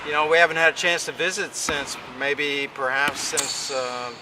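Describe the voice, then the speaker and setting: An American man conducting a conversational sports radio interview, with halting, drawn-out phrasing. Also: on the high side. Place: basketball fieldhouse. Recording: clear ambient noise of a gym.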